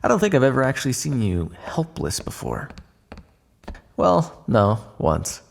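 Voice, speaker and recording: A man's voice: medium pitch, sing-song, a little slow, creaky, exaggerated voice. A man playing a smug, teasing vampire in an ASMR roleplay, sardonic and predatory with a hidden fondness, drawling on key words. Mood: smug, taunting. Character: smug, teasing male vampire, predatory yet secretly fond. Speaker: roleplay voice actor.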